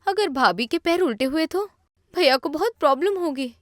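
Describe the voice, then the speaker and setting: Woman voicing a high, playful young sister. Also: animated, normal speed, no room sound, clean studio sound. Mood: curious, teasing.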